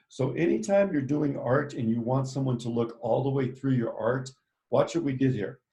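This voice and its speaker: A man's voice: medium pitch, darkish tone. A man teaching a live online drawing lesson, giving playful step-by-step instructions in an upbeat way. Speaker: art teacher.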